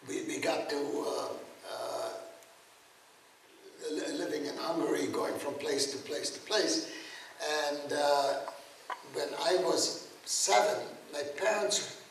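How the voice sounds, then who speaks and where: An elderly man in his eighties, from Czechoslovakia and a native German speaker, speaks slowly and haltingly, stopping often to restart. His voice rings in a very echoey room, heard from a distance, with faint noise underneath. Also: on the high side. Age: 80s. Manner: halting, many fillers and restarts. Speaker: guest speaker, Holocaust survivor. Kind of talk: spoken testimony lecture. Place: lecture room. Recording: very echoey room, mic far off.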